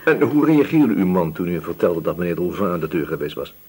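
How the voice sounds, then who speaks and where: An actor playing a calm police inspector in a radio drama, questioning politely and in measured phrases. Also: male voice, low-pitched, husky, heavily acted. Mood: probing, calm.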